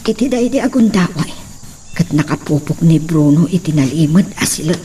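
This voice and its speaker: A woman's voice: deep voice, animated, moderate pace, noisy background. A woman playing a timid, fearful household servant in a radio drama, with a hesitant, pleading manner. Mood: worried, reflective. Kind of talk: melodramatic radio drama acting.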